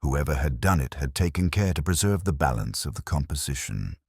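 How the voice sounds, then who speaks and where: A middle-aged man reading a scripted first-person detective story in a measured, brooding manner, with deliberate pauses. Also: rumbling low, expressive, vocal fry, darkish tone, husky, no echo, clean studio sound. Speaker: narrator.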